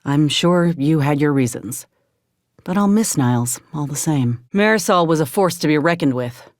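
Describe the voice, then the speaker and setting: An actress playing a gruff, loyal sci-fi soldier and medic in a video game, speaking in a folksy, plainspoken, measured way. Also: female voice, low voice, animated, dry recording, studio quality. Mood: grieving, reproachful. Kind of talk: video game companion dialogue.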